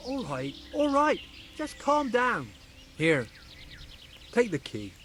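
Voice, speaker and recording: An actor playing a sarcastic, evasive charcoal burner in a video game, defensive and easily rattled. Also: male voice, very high-pitched, wildly swooping pitch, slow pace, fairly bright tone, slight background noise, heavily acted. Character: cocky defensive male charcoal burner, adult.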